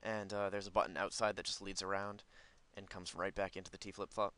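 A man's voice: low-pitched, level tone, brisk, bright, forceful tone. A man giving casual, unscripted gameplay commentary, rambling as he thinks aloud. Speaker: gaming video host.

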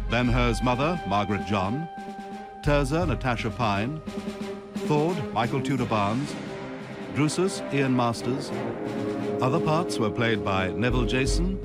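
Formal male announcer over loud music. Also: mid-range voice. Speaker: continuity announcer reading cast credits. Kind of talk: scripted broadcast announcement.

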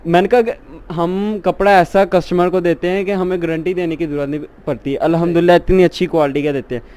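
A man's voice: high-pitched, steady tone, quick pace, darkish tone. Eager young salesman in a shop. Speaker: shopkeeper showing suits. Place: large clothing shop with stacked shelves. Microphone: handheld mic held by the interviewer.

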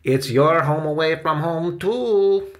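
A cheerful middle-aged theater dresser, acted by a male narrator, friendly and full of little jokes. The delivery is playful and animated, like a character in a children's audiobook. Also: lowish voice, measured pace.

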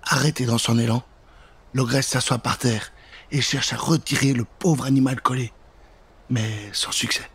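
Husky male storyteller, theatrical and suspenseful. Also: medium pitch, fairly even intonation, normal speed, a bit bright. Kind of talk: dramatic children's Halloween story narration. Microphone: studio microphone with pop filter.

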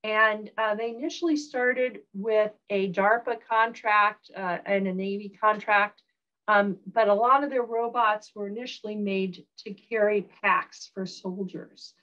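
A woman professor with a Southern US accent giving a recorded online lecture, relaxed, with frequent ums and uhs. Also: mid-range voice, measured pace, muffled recording.

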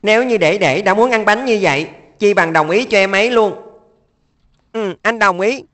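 A woman voicing a thoughtful, dutiful schoolboy in a cartoon dub, speaking in an earnest, measured way. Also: medium pitch, fairly bright tone, dry recording, natural voice. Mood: pondering. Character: thoughtful, dutiful young schoolboy, middle sibling.

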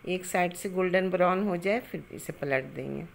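A middle-aged woman with a somewhat low voice talks through a recipe step by step, calm and homely. She sounds like a home cook recording on a phone in her own kitchen, adding greetings and blessings as she goes. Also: normal speed, gravelly. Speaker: cooking channel host.

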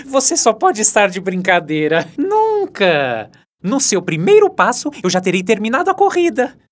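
A woman as a wildly animated, arrogant cartoon hare. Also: on the high side, unhurried, exaggerated voice. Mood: mocking, amused. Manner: smug, scoffing. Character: arrogant, mocking speedy hare, boastful show-off.

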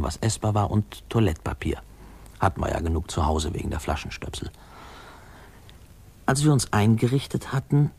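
Low husky guy looking back on his boyhood, wry musing storyteller, old radio play. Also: a little mellow, full character voice. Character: grown man wryly recalling his boyhood, reflective. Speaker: narrator.

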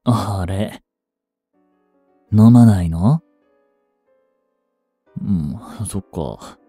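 A man acts out an obsessive, lonely young stalker in a voice drama. He speaks slowly in a dark, mellow tone, coaxing and unstable, as if right beside the listener. Faint music sits behind the close, dry voice. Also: deep voice, animated, slightly rough, no room sound, heavily acted. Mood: pleading, eerily gentle. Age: 20s. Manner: soft, coaxing, brooding delivery with drawn-out pauses. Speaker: voice actor. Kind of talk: intimate Japanese voice drama, addressed to the listener.

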